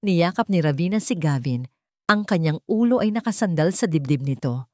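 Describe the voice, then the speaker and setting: A woman narrator reading a scripted romantic drama story in measured, short phrases. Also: on the low side, expressive, no room sound, studio quality.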